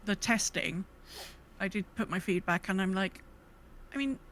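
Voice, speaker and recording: A woman podcast co-host speaks casually in a medium-pitched voice, pausing and correcting herself. Faint ambient noise sits behind her. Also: a bit bright. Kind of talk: casual gaming podcast chat.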